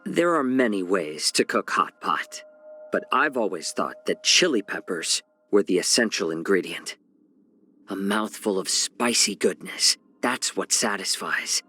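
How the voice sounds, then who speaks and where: An actor voicing a genial, sly fox-man healer and chef in a video game, speaking softly and smoothly in a measured, gently teasing way. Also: low-pitched, expressive, faint music behind, full character voice. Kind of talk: scripted game character voice lines.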